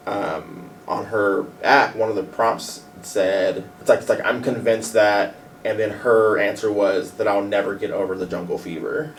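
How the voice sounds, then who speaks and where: Deep-voiced man, hesitant radio talk. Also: fairly even intonation, measured pace, recorded from a distance, a little hiss or hum. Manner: hesitant, many fillers and restarts. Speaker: panel guest. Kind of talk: candid radio panel chat. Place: radio studio.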